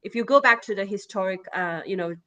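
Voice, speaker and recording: Bright-voiced woman with a Chinese accent. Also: mid-range voice, quick pace. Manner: hesitant, many fillers and restarts. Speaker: guest poet. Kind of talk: conversational interview answer.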